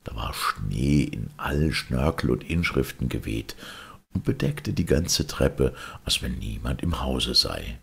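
A man's voice: extremely low pitch, big pitch swings, a little slow, creaky, fairly bright tone, breathy edge. A man narrating a fairy tale expressively as a storyteller.

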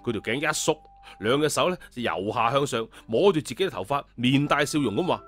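A male storyteller reads an audio tale in everyday colloquial language. His voice sits at a medium pitch, with music playing beneath it. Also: lively intonation, a little fast, a bit bright.

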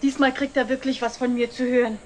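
An actress playing a sulky young daughter in a 1960s German TV drama, petulant and reproachful. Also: female voice, on the high side, little pitch movement, fast, slight background noise, close to an everyday voice. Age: young adult.

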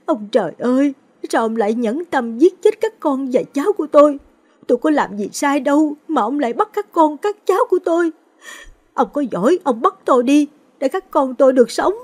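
A woman voicing an old grieving mother who wails her lament in a high, strained voice. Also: animated, fairly quick, a little mellow. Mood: wailing grief.

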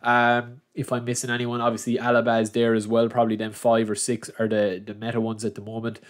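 A man's voice: mid-range voice, completely flat delivery, fast, smooth. A young man with a head cold that makes him a bit nasal, chatting through a screen-recorded football game guide and calling his viewers lads. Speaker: gaming channel host. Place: at a computer, voice-over of screen recording.

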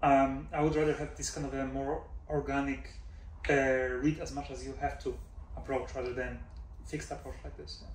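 A man's voice: mid-range voice, level tone, normal speed. A man in his forties who grew up in Denmark, a professor answering interview questions thoughtfully, with many fillers and "right?" checks. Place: office room, indoors. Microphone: far camera mic. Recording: roomy echo from far off, faint hum.

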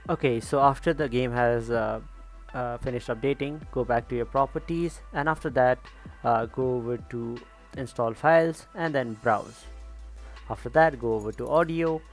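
A man's voice: mid-range voice, normal speed. A man hosting a tutorial, talking casually through instructions step by step with frequent little check-ins. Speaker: tutorial host. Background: background music.

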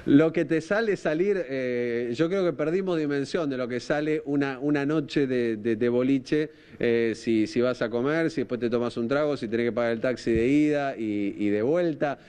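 A man in his thirties with a Rosario Argentine accent, reporting live on TV in an informal, halting way, repeating words as he thinks. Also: medium pitch, a little mellow, smooth. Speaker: TV field reporter. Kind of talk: live unscripted news reporting. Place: outdoors at night by a park. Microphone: handheld microphone, through a face mask.

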